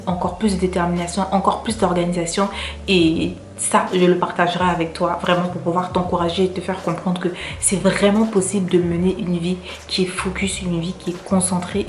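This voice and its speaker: A woman with a French accent talks to her viewers in a relaxed vlog, her voice a little low for a woman and stacking repeated words for emphasis. The room is echoey, with a faint hum of ambience behind her. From Cameroon. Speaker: vlogger. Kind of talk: casual vlog chat.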